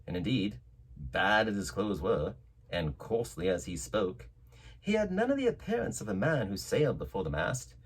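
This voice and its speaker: Male audiobook narrator, slightly deep, swooping expressive pitch, theatrical phrasing, dramatic storybook reading. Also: moderate pace, slightly rough, low-quality recording.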